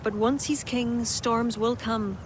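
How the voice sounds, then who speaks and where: Soft-spoken noblewoman, ex-druid, regretful, noisy game audio. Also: on the high side, fairly even intonation. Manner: soft, confiding, measured. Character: earnest Irish noblewoman, former druid, regretful. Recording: game cutscene with clear background noise.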